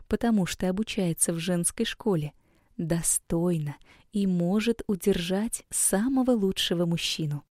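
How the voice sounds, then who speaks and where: A woman with a mellow, warm voice gives a scripted lesson and speaks to her listener in a soft, coaxing way. Her recording is close and dry, with no room sound at all. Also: medium pitch, no echo. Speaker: women's school coach. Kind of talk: scripted instructional narration.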